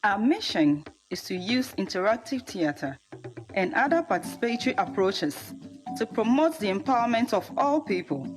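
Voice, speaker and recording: A woman delivering scripted promotional narration as an announcer. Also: mid-range voice.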